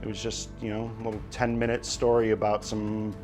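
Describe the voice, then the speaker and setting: A man's voice: on the low side, completely flat delivery, brisk, darkish tone, soft background music. A man who works as a writer, talking reflectively in an interview about the craft. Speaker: screenwriter.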